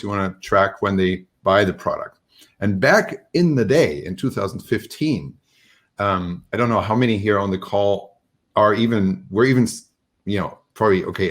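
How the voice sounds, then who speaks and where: Guy from germany on a video call, lowish voice, loose rambly interview talk w lots of ums. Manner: rambling, relaxed, many fillers and restarts. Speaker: guest. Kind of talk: casual remote interview.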